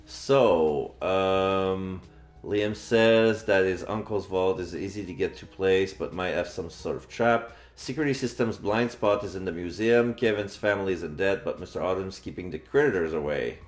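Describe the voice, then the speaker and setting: A man's voice: sits low, normal speed. A man streaming a visual novel, reading the narration and dialogue aloud as he plays. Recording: roomy echo, game music behind.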